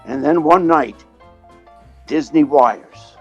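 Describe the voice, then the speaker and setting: A man playing an excitable, money-minded theatrical agent in an old-time radio drama, animated and stammering when flustered. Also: fairly high pitch, slow pace, dull audio, faint music behind. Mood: reminiscing. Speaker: narrator and lead character.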